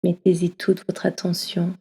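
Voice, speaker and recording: A woman leading a guided yoga nidra session, speaking slowly and softly, often slipping into a half-whisper. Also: on the low side, monotone, vocal fry, slightly rough. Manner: slow, soft, often half-whispered delivery. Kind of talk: guided meditation. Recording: long reverb as in a hall.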